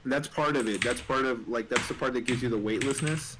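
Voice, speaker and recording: A man's voice: medium pitch, brisk. A man chatting casually in a hesitant, rambling way, often saying "like". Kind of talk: casual chat. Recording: noticeable background noise with typing. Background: keyboard typing and clicks.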